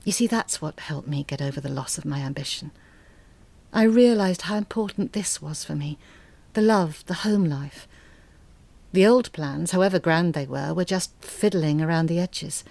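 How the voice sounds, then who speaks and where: A woman playing an articulate, bitter middle-aged mother in an audio drama monologue, speaking in a measured, intimate way. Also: low voice, vocal fry, darkish tone, no room sound. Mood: wistful, contented. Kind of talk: dramatic monologue, letter read as confession.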